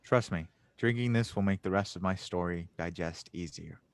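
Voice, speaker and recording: A man playing an anxious, sardonic young genius in an audio drama table read, with halting phrasing. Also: sits low. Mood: wry, subdued. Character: anxious young male genius engineer, self-doubting and sardonic.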